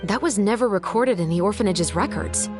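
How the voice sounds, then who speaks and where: A woman's voice: medium pitch, brisk, natural voice. A woman voicing a serious, dutiful police captain in a video game, questioning with formal, restrained firmness. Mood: skeptical. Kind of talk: dramatic video game voice acting. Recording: music behind the voice.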